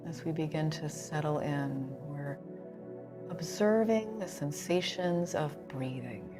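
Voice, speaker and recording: A woman leading a guided relaxation as a yoga instructor, soothing and unhurried, with pauses between phrases. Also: sits low, music in the background.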